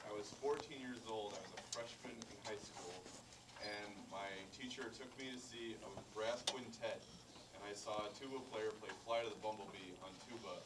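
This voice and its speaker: A man's voice: lowish voice, brisk. An American man from small-town Illinois, speaking informally to an audience as a musician. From small-town Illinois, United States. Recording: distant mic, very noisy.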